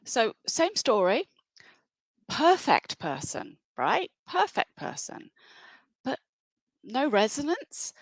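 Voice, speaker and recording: A woman's voice: a little high, wildly swooping pitch, takes it slowly, long pauses, no echo, rough recording. A woman presenting a webinar in an enthusiastic, conversational way. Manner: enthusiastic, conversational, with fillers and restarts.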